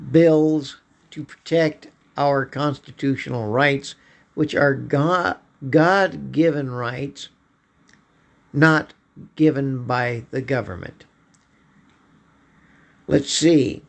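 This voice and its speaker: Male podcast host, hoarse and slow, rambling political monologue. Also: fairly high pitch. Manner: slow, halting delivery with frequent throat clearing.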